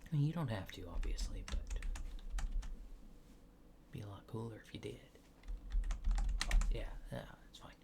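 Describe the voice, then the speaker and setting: A man doing soft-spoken, partly whispered ASMR, playing a laid-back alien abductor asking casual, hesitant survey questions in a deadpan way. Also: on the low side, moderate pace, creaky, long pauses, noisy background. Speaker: ASMR creator.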